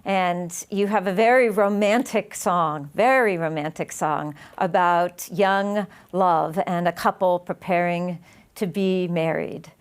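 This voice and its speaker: Woman interviewer with a lowish voice. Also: takes it slowly, clean tone.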